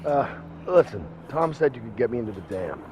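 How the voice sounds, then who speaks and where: An actor playing a brash, sardonic middle-aged photojournalist hero in a video game, wry, blunt and insistent. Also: male voice, mid-range voice, big pitch swings, fairly quick, background noise, close to an everyday voice. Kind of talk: video game cutscene acting.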